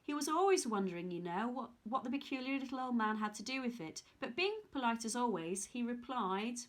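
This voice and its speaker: A woman reading a children's story aloud in an expressive storytelling style. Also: a little high, fairly quick.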